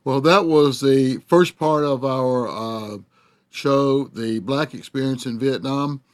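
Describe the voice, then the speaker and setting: An older man from the South, hosting and reflecting in a halting way with many pauses. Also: medium pitch. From Southern United States. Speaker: host. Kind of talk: reflective narration.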